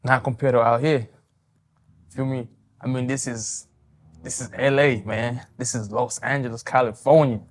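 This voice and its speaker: A man in his twenties from Tanzania, answering interview questions politely, softly and with loose, hesitant phrasing. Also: medium pitch, fairly even intonation, normal speed, slight background noise. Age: 20s.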